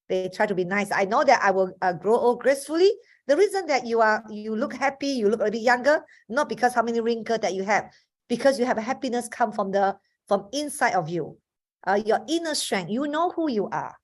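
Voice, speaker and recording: A woman in her fifties from Malaysia, a native Chinese speaker with a Malaysian accent, giving an earnest motivational talk on a video call with frequent restarts. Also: a little high. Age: 50s. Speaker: webinar speaker.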